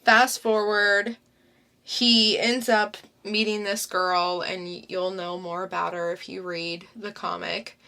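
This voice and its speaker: Slow-talking bright young woman co-host. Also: mid-range voice, flat delivery, gravelly. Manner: unhurried, chatty, summarizing plots. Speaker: podcast co-host. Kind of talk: casual comic review chat.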